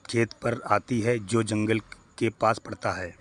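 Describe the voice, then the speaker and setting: A man's voice: lowish voice, steady tone, measured pace, a bit bright. A man reading scripted narration for a cartoon moral story.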